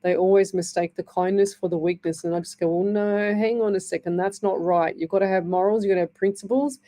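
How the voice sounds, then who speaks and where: Chatty Australian woman, dark scratchy voice. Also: medium pitch, fairly even intonation, brisk. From Northern Ireland. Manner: chatty, rambling, warm-hearted reassurance. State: dry scratchy throat. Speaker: radio psychic medium host. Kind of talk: live radio chat reading messages. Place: radio studio.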